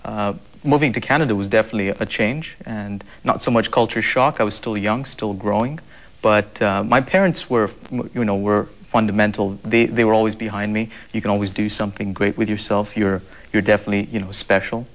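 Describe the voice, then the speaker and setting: A man's voice: lowish voice, fairly even intonation, a little fast. A young man from Zambia, answering interview questions earnestly, with many fillers and repeated words. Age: young adult. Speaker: guest.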